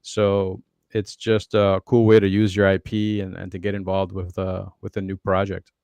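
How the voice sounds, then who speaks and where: Flat, smooth-voiced male co-host. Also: low voice, normal speed, dry recording. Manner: steady, explanatory, many fillers. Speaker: podcast co-host. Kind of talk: casual explanation.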